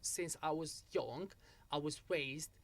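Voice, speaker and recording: Young man from Algeria, interview guest, a fairly high voice, storytelling full of restarts. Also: slow. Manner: storytelling with many restarts and repeated "so what happened?". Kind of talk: casual interview chat.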